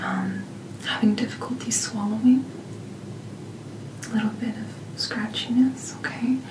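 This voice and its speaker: Whispering, slow-paced woman in soft ASMR roleplay. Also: fairly high pitch. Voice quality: soft, breathy, mostly whispered. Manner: gentle, unhurried, reassuring bedside manner. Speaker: ASMR performer playing a doctor. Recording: roomy sound, clear background noise.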